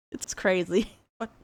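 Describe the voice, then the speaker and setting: A woman with an Australian accent, chatting casually as a VTuber reacting to fan posts, laughing often and amused. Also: no room sound. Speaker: VTuber commentator.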